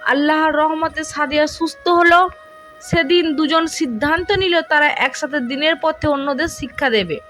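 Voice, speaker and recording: Female storyteller, very high voice, scripted romantic narration with soft music behind. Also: moderate pace.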